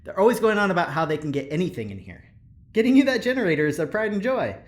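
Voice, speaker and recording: A man's voice: sits high, big pitch swings, fast. A man playing a friendly ship engineer who trades favors, cajoling and hesitant, in an audio drama. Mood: persuading. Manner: cajoling, hesitant, eager to please.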